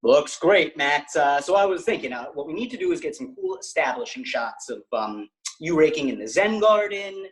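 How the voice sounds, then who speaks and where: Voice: mid-range voice, sing-song, normal speed, close to an everyday voice, rough recording. An actor playing an earnest, scheming teenage boy film buff in a comedic table read, hesitant and then emphatic.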